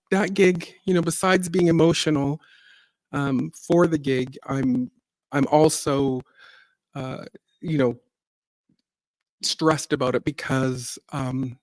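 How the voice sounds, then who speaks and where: A middle-aged woman with a low, deep voice, a singer hosting a casual livestream chat, rambling along with fillers and little laughs. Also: a little mellow, dry recording. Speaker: singer and gardening channel host.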